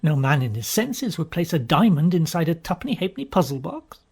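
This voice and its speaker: Male storyteller for audiobook fiction, husky and breathy, theatrical delivery with emphatic pauses. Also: fairly high pitch, sing-song, a little mellow. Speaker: audiobook reader. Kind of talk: dramatic first-person storybook narration.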